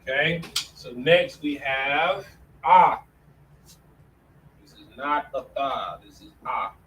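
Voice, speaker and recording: A man's voice: mid-range voice, takes it slowly, fairly bright tone. A man teaching a classroom lesson in a casual way, often saying "all right" between points. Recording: distant mic with faint room noise.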